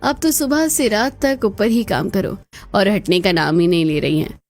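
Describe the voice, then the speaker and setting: A woman playing put-upon, chatty daughters-in-law in a cartoon story, with colloquial, expressive delivery. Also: medium pitch, normal speed, lightly acted. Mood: sarcastic, fed up.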